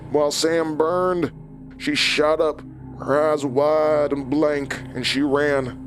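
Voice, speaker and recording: A male actor plays a cold, remorseless killer in a horror audio drama. His voice sits a little high for a man, and he speaks in short, matter-of-fact phrases without pity. Faint music runs behind him. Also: natural voice. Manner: clipped, matter-of-fact, callous. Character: cold, remorseless killer, an old enemy recounting murders.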